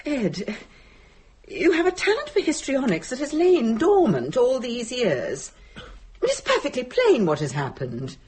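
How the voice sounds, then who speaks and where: An actress playing a level-headed, drily sardonic British woman in a radio drama, brisk and commanding. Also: fairly high pitch, huge pitch swings, darkish tone, breathy edge, heavily acted. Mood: dry, sardonic.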